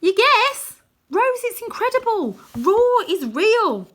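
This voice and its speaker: Storybook boy hero, played by a woman: earnest and pleading, forceful delivery, very animated pitch. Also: slow pace, full character voice. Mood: amazed. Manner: urgent, pleading. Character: earnest, exasperated young boy, storybook hero and narrator's self.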